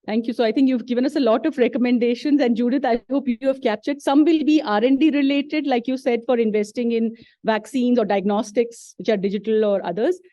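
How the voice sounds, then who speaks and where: A woman moderating a conference discussion, summing up points in a polite, measured way. Her voice is fairly high with a mellow tone. The sound is dry and slightly dull. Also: fairly even intonation, no echo. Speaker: session moderator. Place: conference hall.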